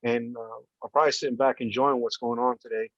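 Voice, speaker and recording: A man's voice: mid-range voice, little pitch movement, fast talker, no room sound, dull audio. A man in his fifties, born in Colombia and raised in New Jersey, telling his story as a podcast guest in an earnest, heartfelt way. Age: 50s. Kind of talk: casual interview storytelling.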